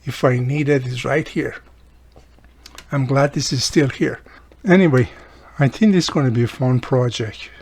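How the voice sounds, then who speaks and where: A man's voice: mid-range voice, moderate pace, creaky, pauses often, a little mellow, husky. Chatty man thinking aloud through a tutorial. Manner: chatty, thinking aloud, often addressing the viewer. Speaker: host of a radio repair tutorial channel. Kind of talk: casual hands-on tutorial narration.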